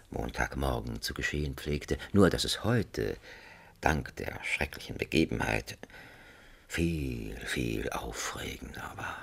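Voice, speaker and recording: A wry male storyteller narrates a radio satire. His bass voice is gravelly and husky, highly animated as he savours the scripted drama. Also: exaggerated voice.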